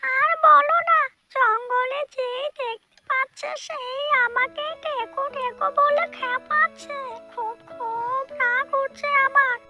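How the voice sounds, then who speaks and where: Female witch ghost, piercingly high, shrill, slow-paced, whining, vengeful, theatrical, cartoon, loud music behind. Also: steady tone, exaggerated voice. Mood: tearful complaint. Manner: whining, repetitive, theatrical exclamations. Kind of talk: Bengali cartoon voice acting.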